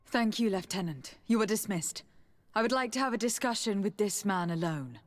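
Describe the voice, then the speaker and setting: A woman's voice: mid-range voice, animated, moderate pace, natural voice. Composed, determined young noblewoman played by an actress. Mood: commanding, probing. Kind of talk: video game cutscene acting.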